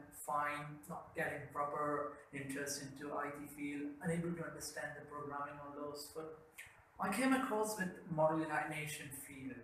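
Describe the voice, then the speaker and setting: Brief: young Pakistani-accented man, interview guest, rambling, sharp tone, roomy echo. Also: a little high. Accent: Pakistani English. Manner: rambling, many fillers and restarts. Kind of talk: telling his life story. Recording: roomy echo, faint background noise.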